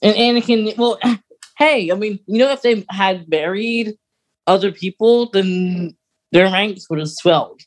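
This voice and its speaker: A man's voice: high voice, a little slow. A man co-hosting a podcast, thinking aloud in a casual, tentative fan discussion. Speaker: podcast co-host.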